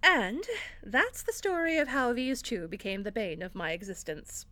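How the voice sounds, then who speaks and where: A woman's voice: fairly high pitch, expressive, normal speed, bright, forceful tone, dry recording. A woman playing an exasperated, sardonic theater director in an audio drama, bossy but encouraging. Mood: brisk, annoyed. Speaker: actor in an audio fiction podcast. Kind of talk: acted audio drama dialogue.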